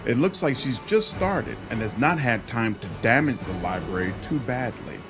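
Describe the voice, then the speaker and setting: A man reading fantasy game narration aloud in an expressive storytelling style, as a gaming narrator. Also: medium pitch, normal speed. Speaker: gaming video creator and narrator. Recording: music behind the voice. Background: game music.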